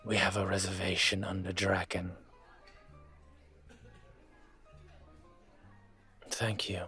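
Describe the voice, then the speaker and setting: A man playing a suave, commanding dragon mafia boss in an intimate roleplay audio, teasing and deliberate, with a husky, breathy voice. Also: low voice, flat delivery, pushed and bright, soft background music, heavily acted. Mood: charmed, flirtatious.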